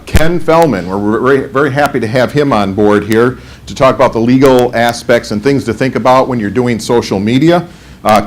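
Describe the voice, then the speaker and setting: A man's voice: lowish voice, slight background noise. A man moderating a conference panel, reading out speaker introductions with some fillers. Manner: formal introductions with frequent fillers. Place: conference room.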